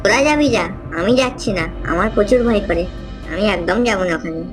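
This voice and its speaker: Lady doing a young village boy in a horror cartoon, pleading and coaxing, mid pitch, faint music behind. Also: lively intonation, fast, natural voice. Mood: persuading. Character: young village boy, one of three friends. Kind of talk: cartoon horror story dialogue.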